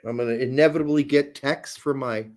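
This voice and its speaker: A man co-hosting a casual live match watch-along, mixing crude jokes with excited play-by-play calls. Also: medium pitch, brisk.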